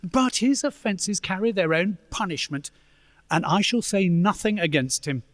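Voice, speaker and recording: A man playing a cheerful, good-natured nephew in a radio play, with a jovial, animated manner. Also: high voice, measured pace, in a large hall. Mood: good-humoured. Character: cheerful, good-natured nephew amused by his miserly uncle.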